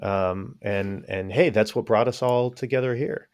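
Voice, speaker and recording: Low gravelly guy on a podcast, stammers a bit getting going. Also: unhurried, darkish tone. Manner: hesitant, stammering starts. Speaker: podcast guest. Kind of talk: casual interview chat.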